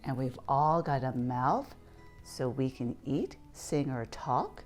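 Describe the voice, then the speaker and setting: Low-pitched, lively woman reading to children. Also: slow, faint music behind. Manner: playful, asks listeners questions and points things out. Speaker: children's read-aloud storyteller. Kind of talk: read-aloud picture book narration for young children.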